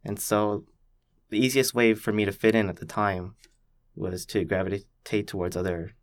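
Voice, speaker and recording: A young man from Canada, thoughtfully telling his own story as a podcast guest, with many pauses and "like" fillers. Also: lowish voice, level tone, dry recording. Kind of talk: reflective personal storytelling.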